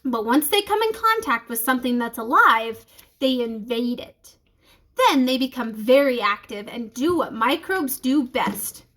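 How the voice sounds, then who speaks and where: Lively, high-voiced, patient science teacher read by a woman. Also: a little slow. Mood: enthusiastic explaining. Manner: patient, explanatory. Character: enthusiastic female science teacher, reassuring and explanatory. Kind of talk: children's storybook reading.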